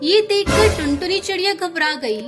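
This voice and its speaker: A woman narrator with a very high-pitched voice. She reads a scripted children's story. Background music is clearly present throughout. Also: expressive, normal speed.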